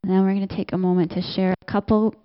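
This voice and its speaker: Gentle woman leading church reflection. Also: medium pitch, little pitch movement, quick pace, smooth, highs cut off. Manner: gentle guiding tone, invites sharing. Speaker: worship leader.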